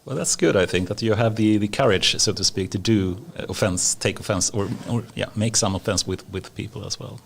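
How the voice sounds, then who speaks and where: A man hosts a casual podcast, asking questions in a halting way and often doubling his words. His voice is low and gravelly. A faint hiss sits under it. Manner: hesitant, repeats words while asking questions. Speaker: podcast host.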